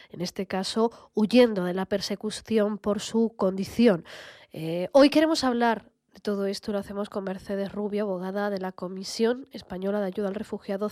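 A woman radio host speaks at a middle pitch in a composed, clear way. The sound is dry and close, with no room echo. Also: darkish tone. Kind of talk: radio interview.

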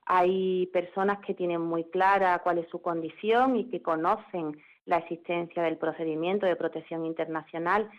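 A woman's voice: fairly low pitch, steady tone, moderate pace, muffled recording. A woman lawyer who is a guest on a radio show, explaining at length and often restarting her phrases. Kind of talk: radio interview.

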